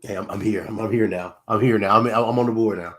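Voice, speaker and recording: A man's voice: on the low side, quick pace. A male podcast co-host in casual joking banter, teasing his friends. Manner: teasing, animated.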